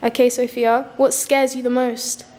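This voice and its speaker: A woman's voice: a little high, level tone, unhurried, darkish tone, soft background music. A woman interviewing young people on camera, asking short prompting questions.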